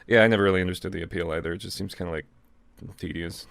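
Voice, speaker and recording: A man with a dry, deadpan manner, casually reading fan fiction aloud as a co-host. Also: low voice, very fast, gravelly. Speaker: co-host and reader.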